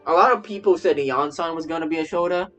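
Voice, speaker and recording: A man's voice: on the high side, fast, a bit bright. A man casually commenting on a game he is streaming, stammering and repeating his phrases as he rambles. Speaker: streamer reacting to a game story. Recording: game music behind the voice. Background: game music.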